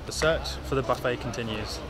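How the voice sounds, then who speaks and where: A man's voice: medium pitch, fairly quick, a loud crowd. A man vlogging in casual chat while walking outdoors along a busy street, recorded on a handheld camera. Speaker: travel vlogger. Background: loud street ambience and traffic.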